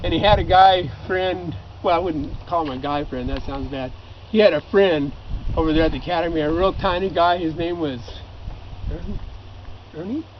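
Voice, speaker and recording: A middle-aged American man reminiscing casually, telling anecdotes in a chatty, informal way. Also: normal speed. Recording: dull lo-fi recording with clear rumbling noise.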